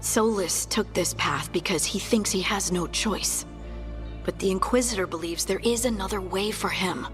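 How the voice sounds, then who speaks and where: A woman's voice: medium pitch, moderate pace, soft background music, natural voice. A woman playing an earnest, compassionate companion in a video game, speaking with heartfelt hope. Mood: earnest, pleading. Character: earnest, hopeful female companion, compassionate believer in redemption. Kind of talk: dramatic video game dialogue.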